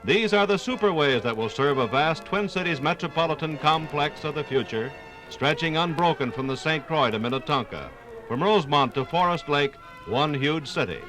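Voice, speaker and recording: A male narrator delivers a 1950s radio documentary script like an orator. His voice is bright and very animated, slightly high, dramatic throughout. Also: normal speed, soft background music, low-quality recording. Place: radio studio.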